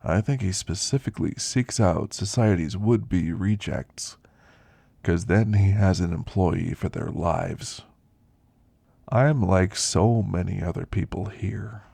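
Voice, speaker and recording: A man playing a weary, wistful elderly werewolf who confides in slow, reflective ASMR roleplay storytelling, gravelly and husky. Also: low-pitched, dark tone, dry recording, exaggerated voice. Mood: grave, confiding. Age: older.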